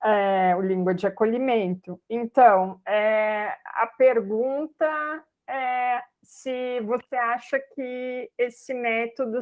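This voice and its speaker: A woman with a fairly high voice asks her questions slowly and with care on a video call. She pauses and hesitates as she frames each one. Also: darkish tone. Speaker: professor hosting the Q&A. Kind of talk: interview questions.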